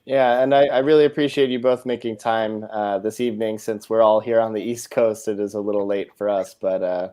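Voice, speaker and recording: A man moderating a panel discussion, speaking in a measured way with frequent filler words. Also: fairly low pitch, fairly even intonation, mellow.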